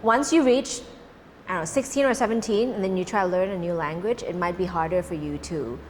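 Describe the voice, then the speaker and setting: A woman's voice: lowish voice, a little fast. A Thai woman whose first language is Cantonese, answering interview questions earnestly and often restarting her sentences. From Thailand. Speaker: interview guest. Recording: reverberant room with faint noise.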